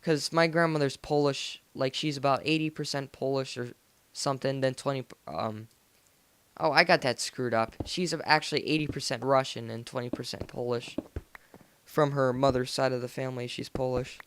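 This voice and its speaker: A teenage American guy with a clean, smooth voice at an average male pitch. He talks casually over gameplay, wandering along informally with plenty of slang. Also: steady tone, normal speed, no room sound. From United States. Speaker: gaming video host. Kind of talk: casual game commentary. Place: voice-over recorded indoors after gameplay.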